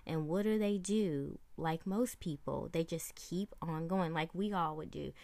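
A woman podcast host speaking in a deliberate, reassuring monologue of faith-based encouragement, with emphatic delivery. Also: low voice, creaky, dark tone, no echo.

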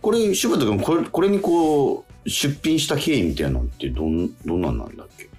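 A man's voice: mid-range voice, big pitch swings, brisk, a little mellow, faint music behind. A man hosting a radio talk show in a studio, chatting casually with many fillers and easy nods.

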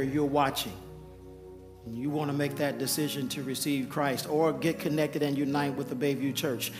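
A man who is a pastor giving an altar call and announcements to his congregation, with a hoarse voice he says he keeps losing. He talks in a coaxing, repetitive preaching manner. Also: on the high side. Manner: urgent repeated coaxing, preacherly. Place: church sanctuary. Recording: live church sound with music and crowd. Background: music and congregation.

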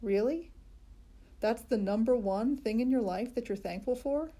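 A woman's voice: fairly high pitch, moderate pace. A woman doing a wry patient male teacher. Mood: dry. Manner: calm, dry, teacherly.

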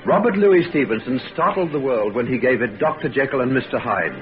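A man's voice: medium pitch, expressive, a little fast, over loud music. Stately old-time radio announcer, man. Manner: formal, stately. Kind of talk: scripted narration. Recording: dull old radio broadcast.

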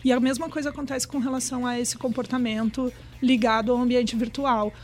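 A woman's voice: on the high side, flat delivery, brisk. A middle-aged woman with a Brazilian accent, explaining things in a chatty, conversational way and often checking for agreement.

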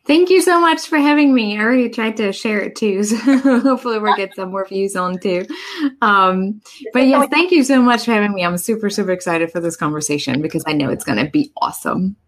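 Bubbly young woman, quick chatty, laughs a lot, on a call. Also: medium pitch. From Germany. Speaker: guest, transformation coach. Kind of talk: casual interview storytelling. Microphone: video call.

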